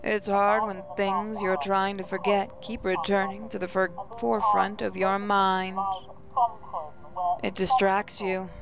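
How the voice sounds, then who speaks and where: Woman, mid-pitched voice, dramatic first-person game narration, emotive delivery, music clearly behind. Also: flat delivery, a little slow. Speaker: gaming channel host reading aloud.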